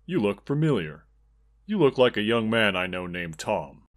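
Folksy cop villain, lowish voice, smiling menace, intimidating audiobook dialogue played by a man. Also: sing-song, moderate pace. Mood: falsely friendly. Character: policeman, folksy, falsely friendly, menacing adult villain.

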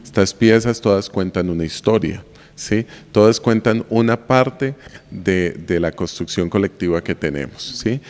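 A man's voice: low-pitched, unhurried, a little mellow, in a large hall. A man in his forties, a university lecturer, giving reflective interview answers and checking in with the listener as he explains. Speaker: university lecturer and museum guide. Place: indoor room of a colonial house museum. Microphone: handheld mic held by interviewer.

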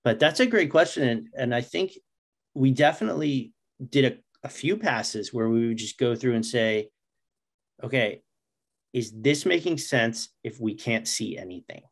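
A middle-aged man speaks as a guest on a video-call interview. His voice sits at an average pitch, and he talks informally, like a writer explaining his work. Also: expressive, normal speed. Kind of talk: casual interview chat. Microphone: video call microphone.

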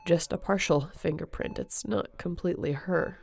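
Casting: actress for tender, guilt-ridden female space captain, heavy vocal fry, halting emotional delivery, sci-fi roleplay. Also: fairly low pitch, fairly even intonation, darkish tone. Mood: confessional, sad. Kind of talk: sci-fi audio roleplay acting.

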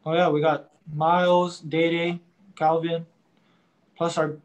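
Flat, fairly high-voiced young man. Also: muffled recording. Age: young adult. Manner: halting, starts nearly every phrase with "I mean"; modest, credits his teammates. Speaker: college quarterback. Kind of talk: post-game press conference answers.

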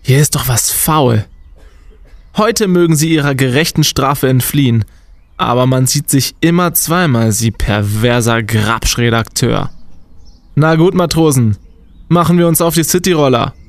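An actor playing a pompous, blustering young team captain in a comic radio play. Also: male voice, medium pitch, a little slow, no echo, close to an everyday voice.

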